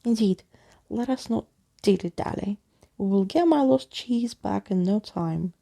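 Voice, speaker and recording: A woman's voice: mid-range voice, measured pace, gravelly, mellow. A woman voicing a pompous, absent-minded old male skeleton from a storybook, speaking in florid, muddled, grandiose phrases. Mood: confident.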